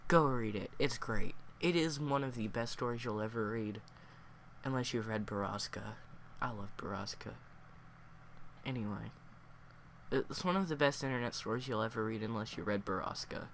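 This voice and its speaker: A man with a cold, sniffling and hoarse, reviewing a story in a casual, rambling way full of fillers. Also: on the low side, moderate pace, fairly bright tone, slight background noise. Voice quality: hoarse, worn voice.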